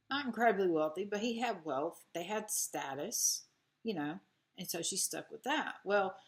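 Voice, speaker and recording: A young woman talks at an unhurried, drawn-out pace, her voice sitting a little on the low side. She tells history casually while doing makeup, rambling with plenty of asides. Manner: chatty, rambling, many asides with "like" and "you know". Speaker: beauty vlogger telling history.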